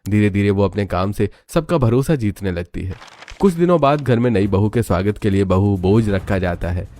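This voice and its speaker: A man's voice: on the low side, lively intonation, normal speed, dark tone. A man narrating a scripted family drama story in a dramatic storytelling style.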